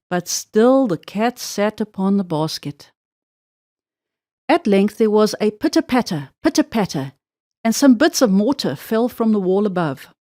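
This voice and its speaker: A woman's voice: fairly high pitch, darkish tone, dry recording, clean studio sound. A woman narrating a children's storybook expressively, drawing out words for emphasis.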